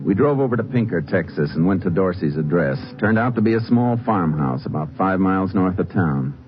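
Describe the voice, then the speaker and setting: A methodical, stern ranger in a vintage radio crime drama. His voice is very low and his delivery firm and matter-of-fact. The recording is muffled with age. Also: expressive, fairly quick. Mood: matter-of-fact narrating. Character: stern, methodical male Texas Ranger lawman, narrating his case. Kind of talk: old-time radio crime drama acting.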